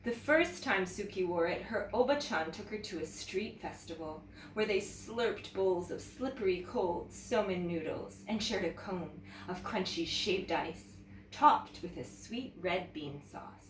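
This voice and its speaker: A woman teacher reading a children's story aloud in an expressive, animated way, talking directly to young viewers. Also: fairly low pitch, unhurried. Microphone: camera mic at some distance. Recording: roomy, distant mic with background noise.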